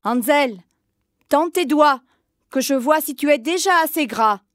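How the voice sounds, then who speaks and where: A woman's voice: sits high, animated, measured pace, no room sound, studio quality. A woman voicing a wicked, greedy old witch in a storybook reading, cackling, honeyed one moment and hissing or snarling the next. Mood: bossy. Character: wicked old female witch, greedy, cruel, child-eating.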